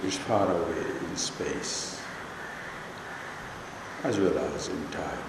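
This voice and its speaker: A man with an Indian accent leading a guided meditation talk as a spiritual teacher, speaking in measured, deliberate short phrases with pauses. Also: sits low, creaky. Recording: echoey hall, long reverb, background noise.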